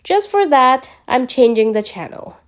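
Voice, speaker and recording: A woman's voice: high-pitched, very animated, measured pace. A woman voicing an exasperated, sarcastic adult male sorcerer from a fan fiction story, with a dry, grumbling manner. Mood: spiteful.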